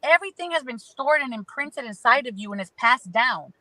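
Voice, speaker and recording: A woman's voice: high voice, animated, brisk, bright, forceful tone, poor audio. A woman appearing as an astrology guest, giving spiritual advice in an emphatic way that stacks phrases into lists.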